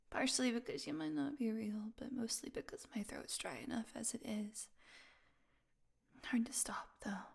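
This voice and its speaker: A woman playing a starving, captive vampire in an audio roleplay, sardonic and proud but desperate, with halting, breathy speech that often falls to a whisper. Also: a little high, a little mellow, exaggerated voice. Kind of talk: intimate dramatic audio roleplay.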